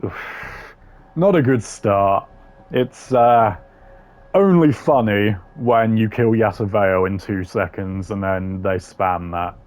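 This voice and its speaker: Man, fairly low pitch, informal ranking commentary, blunt opinions, gamer slang. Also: slow, darkish tone. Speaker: gaming video creator. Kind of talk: casual opinionated commentary ranking game voice lines.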